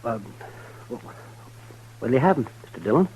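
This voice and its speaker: A man's voice: medium pitch, lively intonation, normal speed, background noise, close to an everyday voice. A male actor playing a flustered, timid bartender in a western radio drama, hesitant and stammering. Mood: nervous, hurried. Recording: muffled old radio recording.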